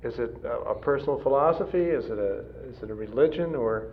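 A man's voice: medium pitch, expressive, brisk. A man interviewing a student, asking probing questions and often rephrasing them mid-sentence. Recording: tinny phone-like recording with faint noise.

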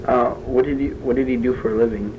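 A man's voice: mid-range voice, fairly even intonation, fast. A man interviewing, asking hesitant questions with many fillers. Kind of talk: oral history interview. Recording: dull lo-fi recording with faint hiss.

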